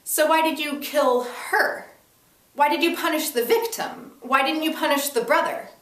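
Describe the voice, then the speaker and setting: A woman acts out a bold young female reporter in a staged story reading. She questions people insistently, incredulous and confrontational, with a raised pitch. The sound is echoey and a little far from the mic. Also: moderate pace, a bit bright. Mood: angry, challenging. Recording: echoey room, distant mic.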